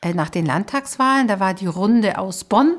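A middle-aged woman hosting a casual conversational podcast, talking in an animated, emphatic way with rhetorical questions. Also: medium pitch, moderate pace. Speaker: podcast host and theatre director.

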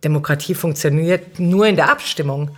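A middle-aged woman speaks in a low-pitched voice as a guest in an interview. Her answers are conversational and explanatory, phrased informally. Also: normal speed. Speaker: podcast guest, city councillor and cultural manager.

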